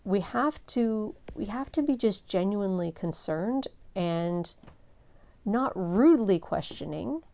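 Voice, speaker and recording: A middle-aged woman pastor teaching a seminar in a soft, low voice, gentle and earnest, with measured pauses. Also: lively intonation.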